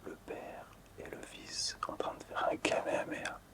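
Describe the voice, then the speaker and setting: Whispering man, soft ASMR host. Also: moderate pace. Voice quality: breathy, unvoiced whisper. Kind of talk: whispered ASMR presentation of collectible cards.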